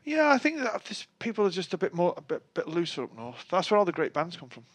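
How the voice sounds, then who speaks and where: A man's voice: high-pitched, wildly swooping pitch, quick pace. A middle-aged man with a Manchester accent, a guest on a chat show, talking in a dry, wry way with many restarts. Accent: Mancunian. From Manchester, England. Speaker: guest musician. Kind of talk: casual interview chat.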